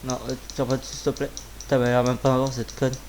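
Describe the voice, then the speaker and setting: Guy doing casual gaming commentary, kinda hoarse, normal pitch, sweary and mumbling to himself, noisy background w keyboard clicks. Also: monotone, racing, a little mellow. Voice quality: slightly hoarse. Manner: informal, muttering to himself, swearing. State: sore throat with a cough. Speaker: gaming video creator. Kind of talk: casual live gameplay commentary.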